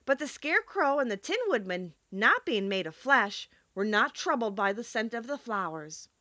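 Lively high-voiced woman reading a storybook. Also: normal speed, no echo, muffled recording. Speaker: audiobook narrator. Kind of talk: expressive scripted storybook narration.

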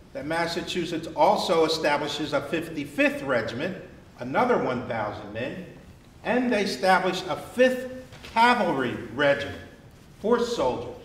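An American man speaks to students as a history presenter, stressing key words with deliberate pauses. His voice sits slightly high for a man. It is picked up from a distance in a very echoey hall. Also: fairly bright tone. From New Jersey, United States. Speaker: living-history presenter in Civil War uniform. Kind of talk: educational history talk to a student group. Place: wood-panelled memorial hall, indoors, with student audience. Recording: distant mic, echoey room, background noise.

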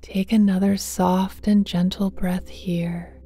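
A woman leading a guided meditation in a gentle, hushed voice that often turns breathy and near a whisper, speaking tenderly to the listener. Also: medium pitch, little pitch movement, takes it slowly. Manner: tender, affectionate address to listener. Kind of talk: guided meditation, slow and soothing. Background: soft meditation music with singing bowls.

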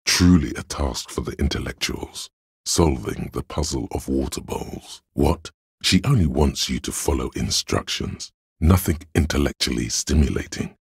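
A man voicing a detective's cool, cerebral inner voice of logic in a video game, measured, condescending and dryly analytical, with a gravelly, husky tone. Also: a bass voice, lively intonation, no echo, clean studio sound, heavily acted. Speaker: voice actor. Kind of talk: scripted game narration.

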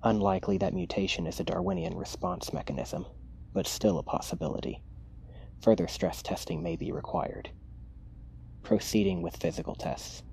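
A cold, possessive mad scientist played by a man in an ASMR roleplay. The voice is low and full of fry, moving between clinical dictation and hushed menace, over an audible hiss. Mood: clinical, detached. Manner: clinical dictation mixed with hushed, taunting asides.

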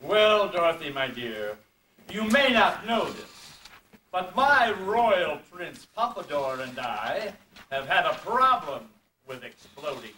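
Man acting pompous elephant puppet, courtly and fussy, bright forceful voice, theatrical declamation, roomy amateur recording. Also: on the high side, sing-song, heavily acted. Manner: grandiose, declamatory, deliberate. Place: indoor convention stage, distant camera. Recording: roomy, distant amateur camcorder, faint noise.

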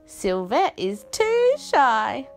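A woman voicing an excitable, teasing youngster in a storybook, with emphatic, exclaiming delivery. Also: fairly high pitch, drawn-out words, faint music behind, exaggerated voice. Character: excitable, teasing young friends of a shy girl. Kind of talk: storybook character voice.

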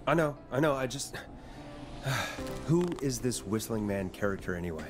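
An actor playing a jaded late-night radio host in a video game, with a wry, exasperated manner. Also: male voice, medium pitch, lively intonation, normal speed, lightly acted. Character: jaded fallen big-city radio DJ, dry and weary. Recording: music playing behind the voice.

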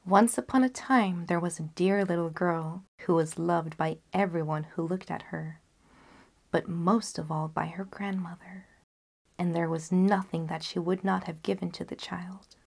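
Low-pitched young woman storyteller. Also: steady tone, measured pace, gravelly, a little mellow. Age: young adult. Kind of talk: expressive fairy-tale reading.

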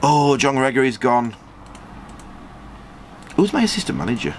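A man's voice: very animated, a little hiss or hum. A man, casually commenting on a football management game and reading out viewer comments in a small storeroom. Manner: chatty, rambling, quick jumps in pitch when surprised. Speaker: gaming channel host.

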